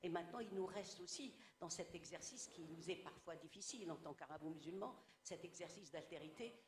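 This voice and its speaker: A woman's voice: on the low side, a little fast, roomy sound, long reverb tail, a little hiss or hum. A woman from Tunisia whose first language is Arabic, speaking as a writer on a discussion panel, reflective and full of stories. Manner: reflective, storytelling. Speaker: guest writer.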